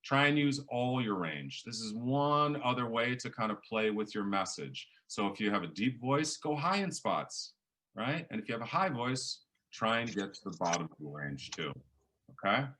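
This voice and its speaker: Middle-aged man, big booming teacher voice, forceful, animated lecture delivery. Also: normal speed. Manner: animated, varies volume and pace for emphasis. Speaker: professor leading a public speaking workshop. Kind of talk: lively workshop lecture.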